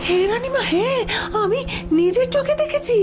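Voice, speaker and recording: Anxious, very high-pitched maidservant. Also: female voice, expressive, normal speed. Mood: anxious, earnest. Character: devoted, anxious young palace maidservant. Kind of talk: dramatized fairy-tale audio story. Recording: music under the voice.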